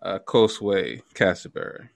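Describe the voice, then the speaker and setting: Very deep-voiced man hosting casually. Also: a little slow, vocal fry, dry recording. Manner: informal, frequent "you know" asides. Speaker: podcast host. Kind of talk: casual interview chat.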